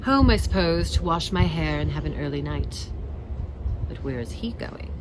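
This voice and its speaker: A woman's voice: low-pitched, expressive, moderate pace. Woman acting a flustered lovelorn single lady in a book drama, noisy street recording. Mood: flustered, hopeful. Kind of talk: dramatized reading of a novel.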